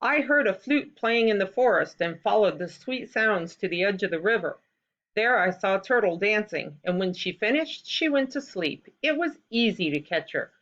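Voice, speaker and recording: A woman's voice: medium pitch, lively intonation, moderate pace, a bit bright, close to an everyday voice. A woman voicing a boastful, firm hunter father in a storybook tale, on a slightly dull recording. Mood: gloating.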